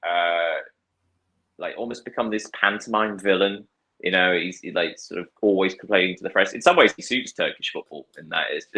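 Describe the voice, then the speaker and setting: Man, low voice, bright tone, casual football talk, thoughtful, halting. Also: low-quality recording. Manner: thoughtful, many fillers and restarts. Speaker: podcast guest.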